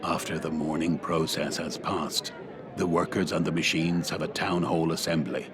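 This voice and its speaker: A man's voice: rumbling low, flat delivery, moderate pace, background noise. A man reading scripted, dramatic narration for a radio play.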